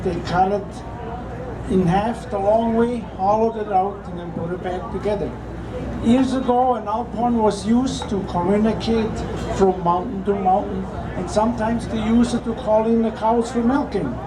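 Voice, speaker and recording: A man's voice: high-pitched, a little slow. A man with a foreign accent casually explaining his instrument to an outdoor crowd, with a dry sense of humor. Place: outdoors at a public event. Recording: outdoor crowd chatter and background noise.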